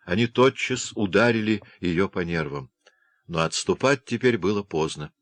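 A man narrating a literary novel as an audiobook, reading in a measured, even way. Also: low voice, no echo.

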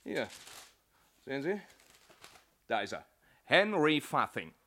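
An actor playing a slick, jovial, mocking bookmaker in a German radio play, with a jocular, chuckling manner. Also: male voice, mid-range voice, big pitch swings, takes it slowly, sharp tone, slight background noise, full character voice. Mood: breezy, mocking.

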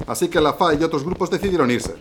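A man's voice: a little high, quick pace, slight background noise, close to an everyday voice. A man playing a grim, dutiful army lieutenant who reports formally and matter-of-factly in an audio drama. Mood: grim, urgent.

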